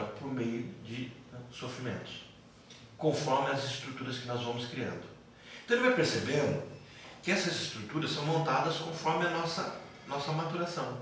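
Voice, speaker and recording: A Brazilian man gives a classroom lecture in a slightly high voice. He explains didactically, posing questions and answering them himself. The recording is very reverberant and picked up from a distance, with faint noise. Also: fairly bright tone. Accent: Brazilian Portuguese. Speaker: psychoanalysis teacher. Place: classroom with students. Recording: very echoey room, distant mic, faint noise.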